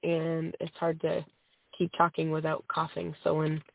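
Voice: mid-range voice, monotone, measured pace, gravelly, no room sound. A host with a cold who is losing their voice, reading a religious text aloud on a call-in program with small slips and fillers. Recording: dry phone-line audio.

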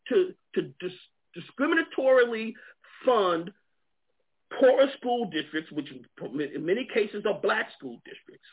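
An earnest man with a New York accent talks in a high, animated voice with a slight rasp. He stumbles into restarts as he works through his points. The sound is thin and tinny, like a phone line. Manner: earnest, many repeated restarts. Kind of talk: animated discussion on a call.

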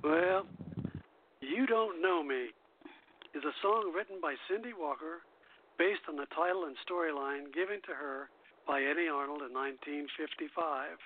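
A man's voice: a little high, normal speed. A man hosting a radio show, reading prepared notes on song history and now and then stumbling over his words. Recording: thin, phone-like narrow-band audio.

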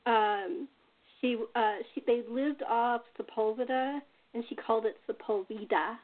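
A woman from California telling family anecdotes in casual chat, with a halting, conversational delivery. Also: on the high side, steady tone. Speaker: radio playwright in a cast chat. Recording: thin phone-like call audio.

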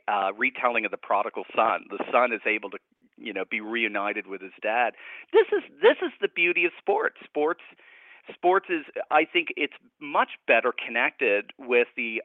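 Heard over a thin, phone-like line with no room echo, a man talks as a scholarly radio guest. He explains his ideas conversationally, in a reflective and academic manner. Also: medium pitch. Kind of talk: conversational analysis in a radio interview. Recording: narrow, tinny phone-like line.

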